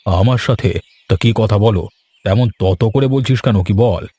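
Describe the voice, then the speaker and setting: A man voicing a worried village gentleman in a cartoon, with a weary, grumbling but authoritative manner. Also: lowish voice, unhurried, slightly rough, dry recording. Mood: worried, exasperated. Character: worried, exasperated male village gentleman, master of the house. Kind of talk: Bengali cartoon dialogue acting.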